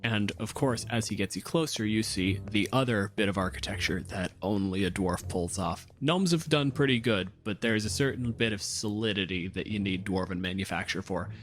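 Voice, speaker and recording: A man's voice: on the low side, moderate pace, few pauses. A man working as game master, improvising descriptive, conversational narration for a tabletop game.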